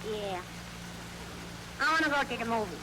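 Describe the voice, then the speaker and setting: A woman voicing a stubborn, cheeky little girl in an old radio comedy, with a whiny, deadpan child's way of talking. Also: fairly high pitch, moderate pace, lightly acted. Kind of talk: 1940s radio comedy acting. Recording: crackly old radio recording with loud noise.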